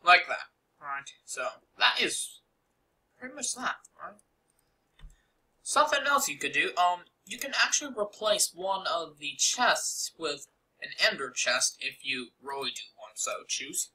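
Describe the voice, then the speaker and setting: A man's voice: a little high, very animated, unhurried, sharp tone, rough recording. A young man casually narrating a game-building tutorial over a screen recording, rambling with many fillers and asides.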